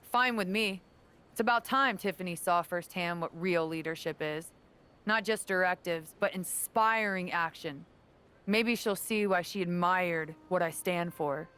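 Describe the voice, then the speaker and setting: A woman playing a proud veteran wrestling champion and earnest mentor in a video game cutscene, speaking in a measured, assured way. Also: on the low side, a bit bright, clear, resonant tone. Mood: firm, challenging.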